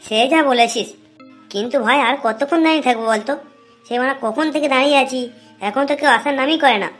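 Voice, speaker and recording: A woman's voice: high-pitched, fast talker, soft background music. A woman voicing a grumbling, sly petty thief in a comic cartoon.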